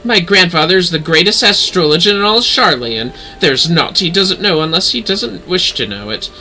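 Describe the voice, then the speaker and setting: A man's voice: high voice, expressive, normal speed, pushed and bright, soft background music, heavily acted, rough recording. Man voicing a haughty, imperious young noblewoman. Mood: disdainful. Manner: imperious, formal, scolding. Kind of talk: game dialogue read-aloud.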